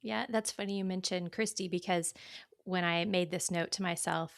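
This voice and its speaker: Creaky-voiced woman podcast co-host. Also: lowish voice, steady tone, normal speed, dry recording, studio quality. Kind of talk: casual book discussion.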